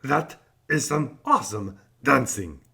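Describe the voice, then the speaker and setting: A man's voice: mid-range voice, animated, slow, creaky, bright, forceful tone, breathy edge. A man hosting a children's video, chatting encouragingly to young viewers.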